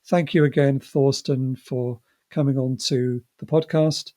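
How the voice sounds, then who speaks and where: A man with a medium-pitched voice hosting a podcast. He chats casually and effusively, with a self-deprecating, appreciative way of talking. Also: fairly even intonation, measured pace, dark tone. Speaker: podcast host. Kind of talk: casual chat.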